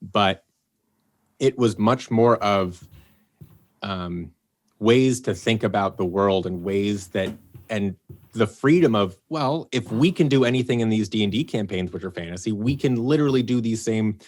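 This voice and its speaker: Lively low-voiced man telling stories on a call. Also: a little slow. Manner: animated storyteller, acts out quotes. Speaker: podcast guest, head writer. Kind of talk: casual chat on a video call. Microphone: video call.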